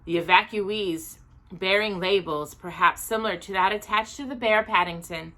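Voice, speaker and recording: A woman reading a children's book aloud in an expressive, deliberate way with drawn-out emphasis. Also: mid-range voice, rough recording. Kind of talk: expressive read-aloud children's story narration.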